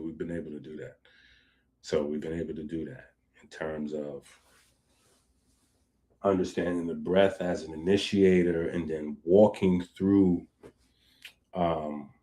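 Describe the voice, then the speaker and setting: Male host, low-pitched, casual riffing monologue with laughing asides. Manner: animated, laughing asides, conversational fillers.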